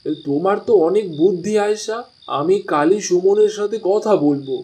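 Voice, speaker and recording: A man's voice: high-pitched, normal speed, a little mellow, lightly acted. A man voicing an eager, friendly village fisherman in a cartoon dub. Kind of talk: cartoon dubbing.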